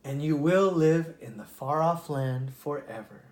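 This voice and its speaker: A reader voicing a wise, kindly magic frog with a gravelly voice and gentle, commanding delivery. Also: medium pitch, unhurried, reverberant, natural voice. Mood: solemn.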